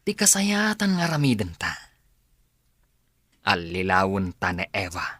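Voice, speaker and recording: A man's voice: low-pitched, wildly swooping pitch, unhurried, sharp tone, no echo, exaggerated voice. A man with an Ilocano Filipino accent playing a cunning accomplice in a drama. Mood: sly. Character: cunning male accomplice plotting a swindle. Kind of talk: TV drama acting.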